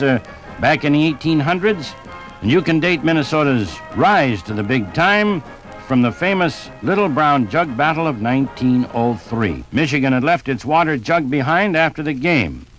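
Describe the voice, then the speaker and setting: Animated, higher-voiced man narrating sports history. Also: normal speed, faint music behind, low-quality recording. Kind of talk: scripted radio narration, 1950s broadcast.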